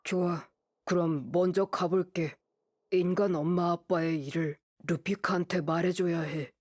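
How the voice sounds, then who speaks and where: A woman playing an earnest, reserved wolf-raised boy in an anime game, speaking in terse, simple, halting phrases. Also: fairly low pitch, steady tone, darkish tone, no echo, clean studio sound. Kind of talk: Korean anime game voice acting.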